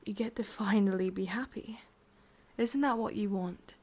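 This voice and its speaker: Woman playing a husky whispering English witch. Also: medium pitch, moderate pace, natural voice. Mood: soothing, coaxing. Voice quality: soft breathy whisper, husky. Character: ancient lovelorn witch, wistful and possessive. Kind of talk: whispered ASMR roleplay.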